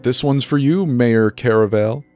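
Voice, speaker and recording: A man's voice: medium pitch, a little slow, darkish tone, soft background music, voice pushed up, close to an everyday voice. A man voicing a cocky, defiant teenage boy, mocking and breezy, in a dramatic story reading.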